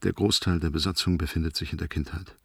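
Sci-fi radio drama: a solemn ship's commander, very low and husky, authoritative, dry studio sound. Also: male voice, steady tone, quick pace, fairly bright tone, no room sound, exaggerated voice. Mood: measured, solemn. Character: grave spaceship commander reporting on a doomed crew. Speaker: actor. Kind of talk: German science-fiction radio drama.

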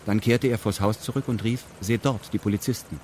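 A man's voice: fairly low pitch, fairly even intonation, brisk, a little mellow, a little hiss or hum. A man narrating a radio drama in a formal, matter-of-fact, detached way. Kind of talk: scripted radio drama narration in first person.

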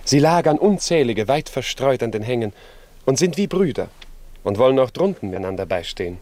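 An actor playing a considerate, earnest gentleman husband in a 1950s German radio play, speaking in a measured way. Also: medium pitch, big pitch swings, lightly acted. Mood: wondering.

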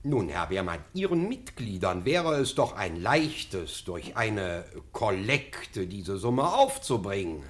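A man's voice: fairly low pitch, sing-song, slow pace, hardly any pauses. An actor playing an obsequious, flustered official in a radio comedy, stammering and fussy in his deference. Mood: cautious, persuading. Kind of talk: classic German radio comedy acting.

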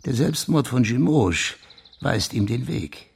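Police official for crime radio drama: very husky, gravelly, mid-pitched, insistent explainer. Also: male voice, animated, slow, a little mellow, full character voice. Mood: grave, deducing. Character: police official laying out his grim theory of a crime.

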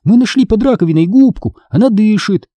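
A man voicing an excitable, indignant young girl in a storybook, insistent and exclamatory. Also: high-pitched, normal speed, no room sound, pitched above the performer's own voice. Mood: excited.

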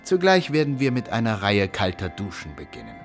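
A man's voice: on the low side, wildly swooping pitch, brisk, creaky, faint music behind, heavily acted. An actor playing a smugly reassuring, pompous male doctor who lectures unctuously in a satirical radio play. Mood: smug, lecturing.

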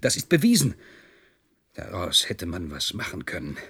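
An actor playing a wary, dismissive general investigating a case in a German radio play, with a curt manner. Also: male voice, sits low, huge pitch swings, normal speed, bright, forceful tone, slightly rough, full character voice. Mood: exasperated.

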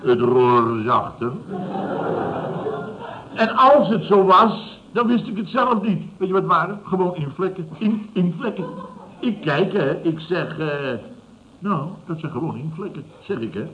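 Blustering older boss, muffled noisy recording. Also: male voice, high voice, lively intonation, a little slow. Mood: indignant, amused. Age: 50s. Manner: blustering, chuckling, repeats himself. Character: gruff, self-satisfied older male building boss telling tales. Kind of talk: 1970s Dutch radio comedy acting.

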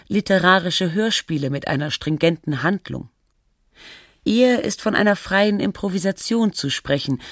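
A documentary narrator reading a scripted feature in a measured, matter-of-fact, scholarly way. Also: mid-range voice, dry recording, studio quality, close to an everyday voice.

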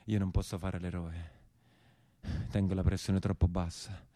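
An actor playing an embittered imprisoned peasant brigand in a theatrical monologue, speaking emphatic, repetitive dialect with a southern Italian accent. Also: male voice, low-pitched, flat delivery, a little slow, dark tone, no echo. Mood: faint, dazed.

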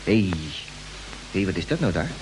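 A man's voice: low voice, sing-song, moderate pace, a little mellow, poor audio. An actor playing a chatty, curious crewman in an old radio space drama, eager and colloquial. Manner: eager, colloquial, full of exclamations. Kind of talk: mid-century Dutch radio drama acting. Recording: hissy old radio recording.

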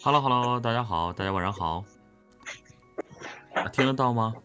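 A man, a voice actor guest, chatting casually in an unhurried, bantering way. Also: medium pitch, bright, forceful tone, soft background music. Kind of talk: casual chat.

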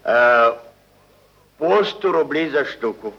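An actor playing a stubborn, blunt landowner who haggles emphatically and repetitively, in old stage-style radio drama. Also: male voice, medium pitch, expressive, slow, creaky. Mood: gruff bargaining. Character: stubborn, blunt, haggling male landowner selling dead serfs. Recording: dull vintage archive radio recording.

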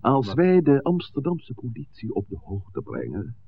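A man acting a calm, authoritative village priest in a 1960s radio play, speaking deliberately and oratorically. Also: medium pitch, creaky, exaggerated voice. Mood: urgent, stern. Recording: tinny, noisy 1960s radio recording.

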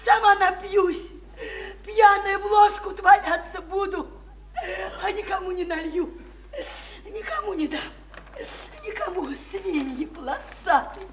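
A woman's voice: very high voice, echoey room. An actress playing a bitter, lonely, hard-drinking woman in a radio play, mocking and wailing in repeated phrases. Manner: wailing, repetitive, mocking, theatrical. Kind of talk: theatrical radio play acting.

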